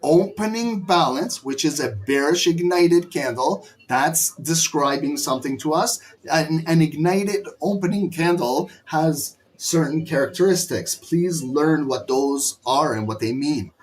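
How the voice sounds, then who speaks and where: A middle-aged man with a slightly high voice, worn hoarse from talking loudly. He teaches a live trading session with emphatic, scolding energy. He is animated and keeps pushing the lesson forward. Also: unhurried, poor audio. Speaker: trading instructor.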